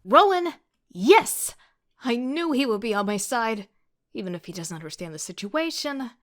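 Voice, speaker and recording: A woman's voice: on the high side, very animated, normal speed. A woman playing an agitated young student who narrates her own story in an audio drama, sardonic and self-deprecating. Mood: exasperated. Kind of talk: first-person audio drama narration with outbursts.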